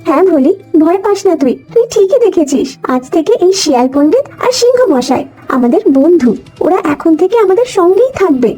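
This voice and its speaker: A woman's voice: very high voice, sing-song, brisk, dark tone. A woman playing a motherly old cow in a cartoon, tender and self-sacrificing, with a pleading, endearing way of talking. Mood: reassuring. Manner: pleading, endearing address to her child. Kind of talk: cartoon voice acting. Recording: music behind the voice.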